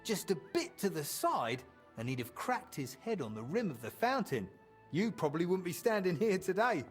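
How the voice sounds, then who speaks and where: An actor voicing a chatty medieval townsman in a video game, telling colloquial, animated tales of old times with fondness. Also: high voice, moderate pace, soft background music. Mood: wry, reminiscing. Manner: animated, colloquial storyteller acting out quotes. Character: chatty medieval townsman reminiscing fondly about an old drinking friend. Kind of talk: storytelling in video game dialogue.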